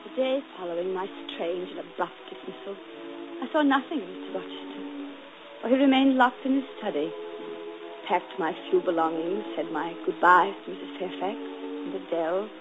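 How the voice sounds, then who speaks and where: A woman's voice: fairly low pitch, normal speed, music in the background, lightly acted. A woman playing a proud, passionate young governess in a 1940s radio drama, turning clipped and bitter, then breathless. The sound is muffled and hissy, like an old broadcast. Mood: wistful.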